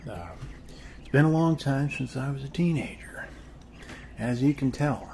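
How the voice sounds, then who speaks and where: A man playing a friendly, rambling middle-aged suburban neighbor in an ASMR role-play, with an American accent and a soft, hesitant, folksy delivery. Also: medium pitch, measured pace, vocal fry. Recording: faint steady background noise.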